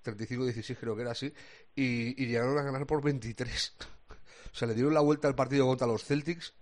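A man with an accent from Spain discussing basketball casually as a commentator, colloquial and halting as he thinks aloud. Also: medium pitch, steady tone. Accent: Spanish from Spain.

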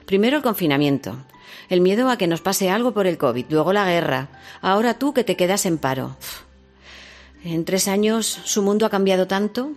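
A woman's voice: mid-range voice, lively intonation, moderate pace, soft background music, natural voice. A woman playing a tender, caring, concerned mother in natural radio drama dialogue. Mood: worried. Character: tender, caring mother who worries about her son.

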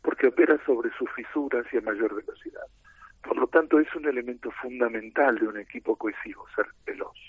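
A middle-aged man with an Argentine accent, a team coach explaining his ideas in a measured, lecturing way in a radio interview. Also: mid-range voice, breathy edge, dry recording. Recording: tinny phone line.

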